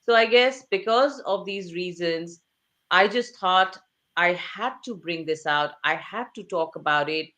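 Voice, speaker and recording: Woman, author guest, lower voice, earnest, emphatic, rhetorical questions. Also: unhurried, fairly bright tone. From Asia. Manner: repeats phrases for emphasis. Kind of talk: conversational interview.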